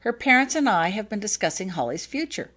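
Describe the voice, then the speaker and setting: Woman acting a wizard dad, smooth, polite, persuasive, quietly in command, lively intonation, storybook dialogue. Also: medium pitch, a little fast, voice pushed up. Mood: smooth, businesslike. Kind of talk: acted fanfiction audiobook dialogue.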